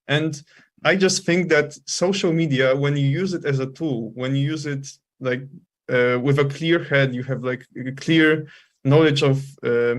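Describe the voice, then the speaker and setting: A man speaking unscripted as a debate panelist, halting and searching for words. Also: medium pitch.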